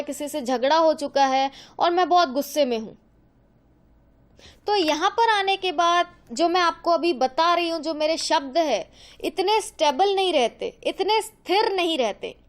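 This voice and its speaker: A woman teaching in an explanatory, conversational way, mixing in English words and rephrasing her points. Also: high-pitched. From India. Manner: conversational Hindi mixed with many English words; didactic, repeats and rephrases points. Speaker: teacher, lecturer. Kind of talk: explanatory lecture with everyday examples.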